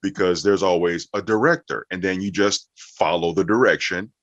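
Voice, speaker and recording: A man's voice: deep voice, steady tone, moderate pace, a bit bright. A man answering questions conversationally as an interview guest, a voiceover actor and coach. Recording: roomy echo, dull muffled sound.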